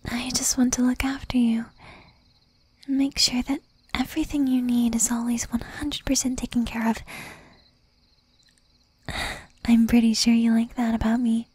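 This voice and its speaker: A woman acting as a jealous, doting young demon girlfriend in a soft, breathy ASMR whisper. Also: a little high, level tone, dry recording. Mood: shy, doting. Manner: slow, intimate, menacing yet doting. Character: jealous, possessive young female demon girlfriend, shy, tender.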